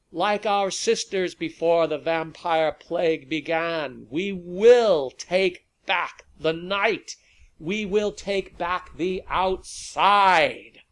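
A man voicing a confident, defiant activist leader in a story, declaiming loudly and deliberately to rally a huge crowd. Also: fairly high pitch, pitched above the performer's own voice. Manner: declamatory, loud, deliberate oratory with drawn-out words. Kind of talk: speech in a narrated story.

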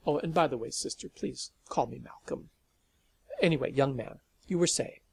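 A man voicing a gruff irritable man. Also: mid-range voice, normal speed, a little hiss or hum, close to an everyday voice. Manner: gruff, exasperated.

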